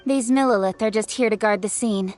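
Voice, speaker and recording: A woman's voice: mid-range voice, a little fast, darkish tone, soft background music, natural voice. A woman playing a proud, forthright young female official in a video game, speaking formally and assertively. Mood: businesslike. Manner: formal, assertive, crisp phrasing.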